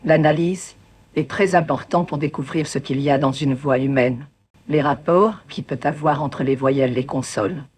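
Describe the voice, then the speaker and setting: A man presents a scripted introduction. His voice sits a little higher than most men's and has a rough, breathy husk to it.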